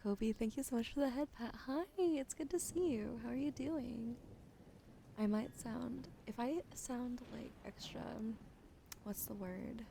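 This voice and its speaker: A woman streamer chatting with viewers during an ASMR livestream, often whispering softly, close to a sensitive studio mic. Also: fairly high pitch, gravelly, a little hiss or hum. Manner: often whispering, soft and unhurried. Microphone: sensitive studio mic on a boom arm.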